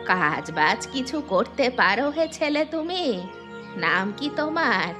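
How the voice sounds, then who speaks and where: A woman's voice: on the high side, sing-song, a little slow. A woman voicing a hospitable, plain-spoken old village mother. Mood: calm, frank. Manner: homely, welcoming. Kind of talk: moral-story cartoon dubbing. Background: music.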